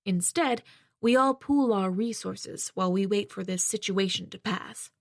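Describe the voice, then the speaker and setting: A woman reading a post-apocalyptic audiobook as its first-person narrator, in a measured storytelling voice. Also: medium pitch, creaky, no echo, clean studio sound.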